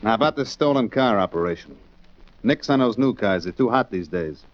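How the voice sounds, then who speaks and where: An actor playing a tough, menacing gangster in a 1940s radio crime drama, with curt, commanding, slangy talk. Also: male voice, on the low side, animated, a little fast, natural voice. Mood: mocking, bossy. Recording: muffled, noisy 1940s radio recording.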